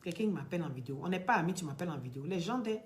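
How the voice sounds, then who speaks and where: Ivorian-accented woman, blunt monologue, slangy, bursts loud. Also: fairly low pitch, animated, very fast, a little hiss or hum. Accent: Ivorian French. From Côte d'Ivoire. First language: not French. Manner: blunt, emphatic, street slang, rises to loud outbursts. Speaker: online commentator. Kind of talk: outspoken monologue to camera.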